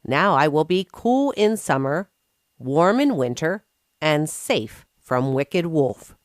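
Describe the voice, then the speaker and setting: Woman voicing a sensible, slow-spoken young pig. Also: sits low, very animated, dry recording, clean studio sound, exaggerated voice. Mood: content. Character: clever, kind, sensible young male pig.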